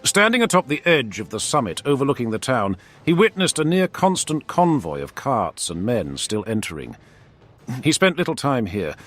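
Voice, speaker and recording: A man narrating a fantasy war story in a scripted, dramatic storytelling style. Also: medium pitch.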